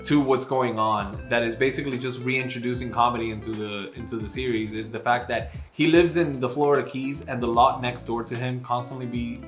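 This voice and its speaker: A man's voice: mid-range voice, fairly even intonation, a little fast, few pauses. Man chatting casually in an echoey room. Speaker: book vlog co-host. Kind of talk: casual book chat. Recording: roomy echo, faint music behind.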